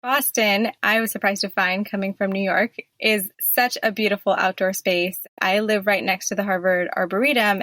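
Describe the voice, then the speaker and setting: A woman's voice: mid-range voice, a bit bright, no room sound. A young woman from California, a medical fellow, chatting casually as a podcast guest. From California, United States. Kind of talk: casual interview talk.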